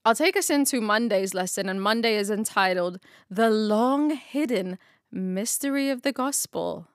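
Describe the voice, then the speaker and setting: A woman's voice: mid-range voice, lively intonation, normal speed, no echo, clean studio sound. A woman co-hosting a casual Bible study chat, slangy and laughing often.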